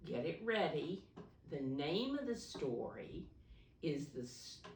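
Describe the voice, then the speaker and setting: A woman speaking as a preschool teacher at storytime, explaining things simply and deliberately to young children. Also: low voice, a little hiss or hum. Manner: simple, deliberate explaining, refers to herself in third person. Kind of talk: read-aloud storytime for preschoolers. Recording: roomy echo, distant microphone.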